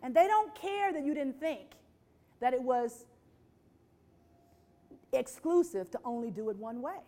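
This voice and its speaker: High-voiced woman giving a lively talk. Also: unhurried. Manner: rhetorical, repeats phrases, asks the audience questions; voices quoted people with lively shifts. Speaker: speaker on workplace diversity. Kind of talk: presentation to an audience.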